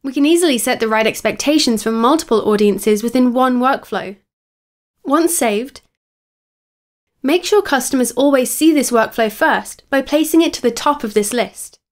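Female tutorial narrator, somewhat high register, scripted instructional read, clear step-by-step delivery. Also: lively intonation, moderate pace. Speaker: software tutorial presenter.

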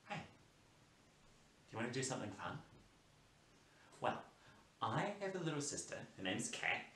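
A man's voice: medium pitch, creaky, sharp tone, voice pushed down, exaggerated voice. A young man playing a mischievous, bored big brother in a children's story, exaggerated and conspiratorial. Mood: complaining, confiding. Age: young adult. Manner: exaggerated, conspiratorial, talking to viewers. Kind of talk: playful children's storytelling performance. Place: plain indoor room. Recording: roomy sound, off the mic.

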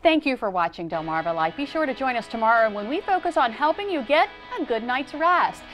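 A middle-aged woman hosts a daytime TV show, her fairly high voice swinging up and down expressively. Light music plays under her in the studio. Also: very animated, brisk, fairly bright tone, poor audio. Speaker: co-host. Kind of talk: TV magazine show hosting. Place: TV studio set.

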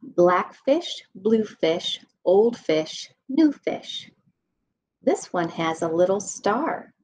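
A woman in her thirties reads a children's story aloud in an expressive, deliberate sing-song, indoors on a webcam microphone. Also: medium pitch. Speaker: school staff nutritional specialist reading a children's storybook. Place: indoor room at home. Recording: dull lo-fi webcam sound.

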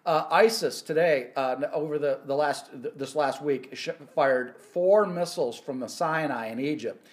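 Middle-aged male ministry host; earnest, halting delivery with many fillers; prophecy teaching, close phone mic indoors. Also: fairly high pitch. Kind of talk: weekly Bible prophecy teaching. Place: indoor office or home room.

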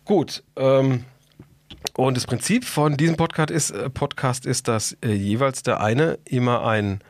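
A man's voice: mid-range voice, measured pace, dry recording. A man hosting a podcast in casual chat, talking in a colloquial, blunt, joking way. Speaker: podcast host.

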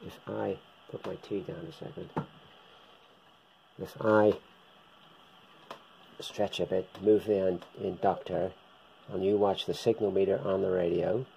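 A man giving a casual, hands-on equipment demonstration as a hobbyist presenter, talking unhurriedly in short, halting bursts. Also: deep voice, level tone, gravelly, warm tone, lo-fi sound, slight background noise. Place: indoors, room with radio gear on a shelf.

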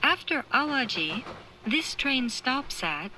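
A woman reading formal, measured scripted train announcements in clearly separated phrases through an onboard speaker. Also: sits high, sing-song, pushed and bright, a little hiss or hum, low-quality recording. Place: recorded inside a train carriage.

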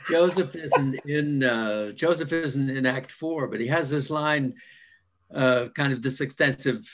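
An older man reflecting in a halting, deliberate way during a video-call panel chat. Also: medium pitch, slight background noise. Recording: slightly dull video-call audio.

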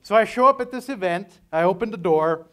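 A man's voice: high voice, animated, a little fast, a bit bright. A man in his forties from Austria, giving an informal, joking conference talk in a conversational way. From Vienna, Austria. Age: 40s. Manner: conversational, joking, often tags sentences with "right?". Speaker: seminar speaker. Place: hotel meeting room, on stage at a lectern. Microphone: lapel mic.

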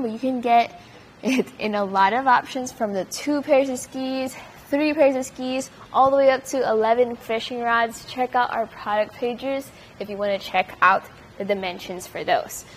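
Young woman demoing gear, fairly high voice. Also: fairly bright tone, a little hiss or hum. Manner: upbeat, explanatory, hands-on while demonstrating. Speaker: product reviewer. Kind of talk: product demonstration.

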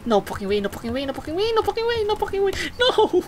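A woman doing let's play commentary, excitable and sweary, repeating phrases rapidly as she reacts and reads game text. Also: very high-pitched, a little hiss or hum. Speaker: video game streamer. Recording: game music behind the voice. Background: video game music.

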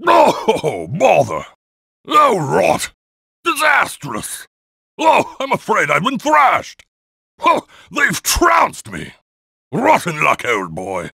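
A theatrical, old-fashioned British gentleman of a cartoonish game car. The voice is very gravelly and forceful, leaping up and down in pitch. Every phrase is pompous, plummy and exclamatory. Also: wildly swooping pitch, extremely slow, no echo, clean studio sound, heavily acted. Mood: dismayed. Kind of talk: animated video game character barks.

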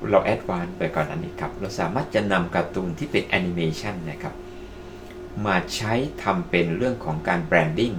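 A man's voice: low-pitched, a little fast. A man presenting casually to online viewers as a tutorial host, addressing them politely as friends. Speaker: presenter of video-making tutorials. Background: music.

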